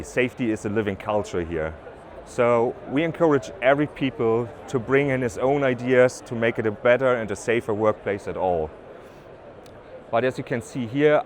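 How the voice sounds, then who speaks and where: A man with a German accent giving a trade-fair presentation as a business development manager, with halting phrasing, fillers and restarts. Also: mid-range voice, slight background noise. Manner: slightly halting non-native phrasing with ums and restarts. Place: exhibition stand at an offshore wind event.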